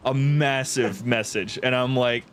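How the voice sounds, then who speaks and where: A man hosting a gaming stream, chatting casually and telling things in an animated, informal way. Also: medium pitch, unhurried, a little hiss or hum. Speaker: stream host.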